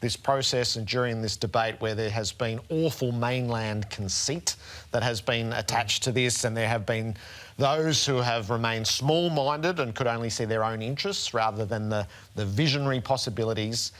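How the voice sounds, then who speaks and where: A man's voice: lowish voice, fairly bright tone. An Australian man hosting a sports panel show, speaking in an emphatic, eloquent, rhetorical way.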